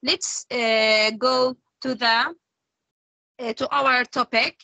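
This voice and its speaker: Woman presenter, Arabic native, fairly high bright voice, hesitant, dry call audio. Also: steady tone, gravelly, no echo. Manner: slow, hesitant, with many fillers. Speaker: webinar presenter. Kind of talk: leading an icebreaker activity. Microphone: video call.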